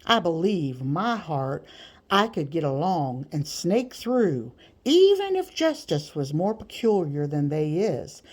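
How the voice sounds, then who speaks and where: Audiobook slave catcher, female reader playing a crafty, bragging man with rustic speech, soft-edged and leisurely. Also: low voice, big pitch swings, slow, exaggerated voice. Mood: boastful. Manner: rustic nonstandard grammar, gleefully bragging. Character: slick, boastful male slave catcher, sharp and scheming. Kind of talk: audiobook character voice.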